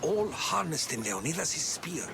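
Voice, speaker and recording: An actor playing an eager, earnest older historian in a video game. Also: male voice, on the high side, big pitch swings, a little slow, creaky, background noise, heavily acted. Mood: curious, concerned. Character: inquisitive, earnest older male Greek historian and companion.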